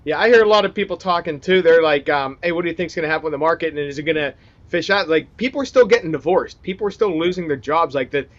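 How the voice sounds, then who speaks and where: Higher-pitched man, casual, dull recording. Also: quick pace. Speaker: interviewer, digital marketer. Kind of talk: casual chat. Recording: dull, lo-fi sound.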